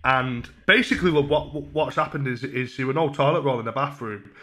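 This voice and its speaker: Deadpan young Northern English man, bright voice. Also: medium pitch, brisk. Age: 20s. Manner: mock-earnest, deadpan, hesitant. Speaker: prank caller, livestreamer. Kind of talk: casual prank phone call. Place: small room at home. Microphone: desk microphone on a stand.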